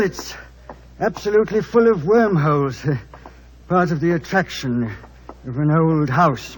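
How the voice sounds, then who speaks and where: Prickly, husky-voiced British gentleman. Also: a little high, rough recording. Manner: prickly, sardonic, clipped asides. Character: touchy, jealous British husband, a prickly country gentleman. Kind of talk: old-time radio drama acting. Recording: vintage radio recording.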